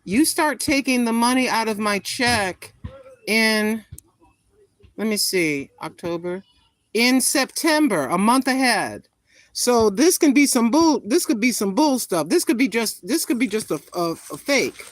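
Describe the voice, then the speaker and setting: A woman in her sixties chatting casually with viewers on a live stream, greeting them by name and talking her thoughts through out loud. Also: a little high, animated, measured pace, no echo. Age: 60s. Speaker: vlogger greeting live chat.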